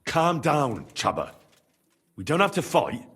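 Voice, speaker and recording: A man's voice: on the high side, wildly swooping pitch, measured pace, fairly bright tone, husky, full character voice. An actor playing a level-headed male rebel negotiator who speaks in a firm, placating way.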